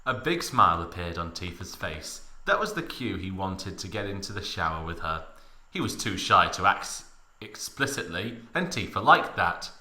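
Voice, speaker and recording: Male comic narrator, fairly low but very animated and theatrical, mock-dramatic delivery, roomy echo. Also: normal speed. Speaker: host, story reader. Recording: echoey room.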